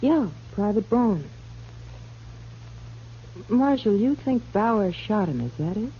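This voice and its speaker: A woman's voice: medium pitch, animated, slow pace. An actress playing a coy, guarded young saloon girl in a radio western. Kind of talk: 1950s radio western acting. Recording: muffled, noisy old radio recording.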